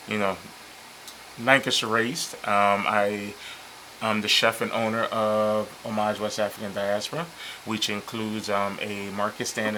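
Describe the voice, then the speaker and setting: A man in his fifties, born in Liberia, a chef talking casually and a little haltingly as a TV interview guest. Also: lowish voice, slight background noise, poor audio. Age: 50s. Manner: relaxed, hesitant with many ums, laughs easily. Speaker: chef and business owner, guest. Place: local TV studio.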